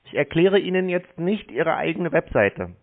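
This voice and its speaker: A man's voice: on the high side, sing-song, moderate pace, gravelly. A man in a casual phone conversation as a customer, answering in a dry, stubborn way. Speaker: scam-baiter posing as customer. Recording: muffled narrow-band phone line.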